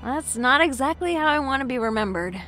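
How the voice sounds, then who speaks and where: A woman's voice: high-pitched, expressive, brisk, sharp tone, faint music behind. A woman playing a friendly but anxious young commuter in an audio drama, earnest and a little hesitant. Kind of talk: naturalistic audio drama acting.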